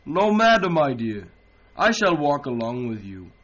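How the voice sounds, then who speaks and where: A man's voice: fairly high pitch, big pitch swings, a little slow, fairly bright tone. A man voicing a sly male wolf who talks in a falsely polite, coaxing way, in a dull lo-fi recording.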